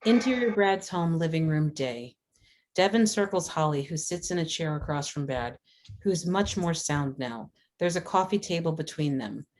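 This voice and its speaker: A woman reading scripted stage directions as a narrator, in a measured, descriptive manner. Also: low voice, expressive, darkish tone.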